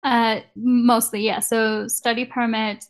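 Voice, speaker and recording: Gravelly Kenyan-born woman, chatty guest. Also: a little high, little pitch movement, takes it slowly. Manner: chatty, laughs easily. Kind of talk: casual interview.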